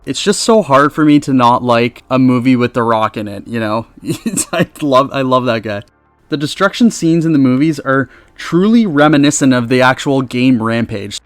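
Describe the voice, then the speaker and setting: A man's voice: mid-range voice, dry recording. A man hosting a countdown review in a casual, chatty, enthusiastic way, speaking close to the microphone. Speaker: video host and reviewer. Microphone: close USB microphone.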